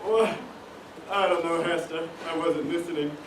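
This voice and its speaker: A man's voice: a little high, steady tone, moderate pace, close to an everyday voice. A man playing a mild, pompous, henpecked husband in an amateur stage comedy. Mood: meek, polite. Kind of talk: amateur one-act stage comedy acting. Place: stage in a large echoey room. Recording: very echoey stage, noisy, far-off mic.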